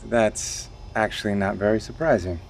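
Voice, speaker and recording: An actor playing a guarded, dry-witted man in an audio drama, terse, with a gravelly, husky voice. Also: on the low side, fairly even intonation, moderate pace, a little hiss or hum.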